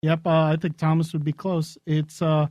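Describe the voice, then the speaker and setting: A man's voice: a little high, little pitch movement, moderate pace, darkish tone, no room sound, studio quality. A man from a talk show crew, chatting casually and chipping in with information. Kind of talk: casual chat.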